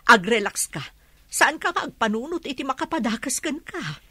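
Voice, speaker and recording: A woman playing a caring village mother in a radio drama, steady and reassuring. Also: high-pitched, moderate pace, slightly rough, no echo, clean studio sound, exaggerated voice. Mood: soothing. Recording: noisy animal and water sound effects.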